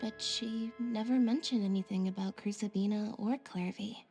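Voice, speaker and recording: A woman voicing a calm, composed, quietly caring young woman in an anime fantasy game, measured and matter-of-fact, over music. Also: medium pitch, lightly acted. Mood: composed, serious.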